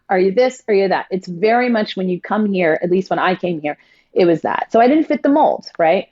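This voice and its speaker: Woman guest, effusive storyteller, medium pitch, a bit lo-fi. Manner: effusive, rapid storytelling with quoted asides. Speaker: guest, stage actress. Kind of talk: casual interview chat.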